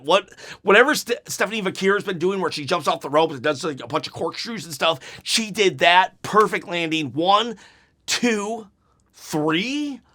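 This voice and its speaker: A man hosting a wrestling channel, giving an unedited review right after a show, excitable and rambling, his voice going hoarse. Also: high-pitched. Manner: excitable, rambling, repeats himself.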